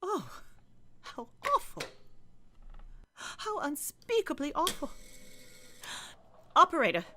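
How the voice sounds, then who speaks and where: A woman's voice: high-pitched, huge pitch swings, takes it slowly, pauses often, bright, forceful tone, a little hiss or hum, exaggerated voice. A woman acting an imperious, panicky married woman in a radio play, haughty, agitated and insistent. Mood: distraught.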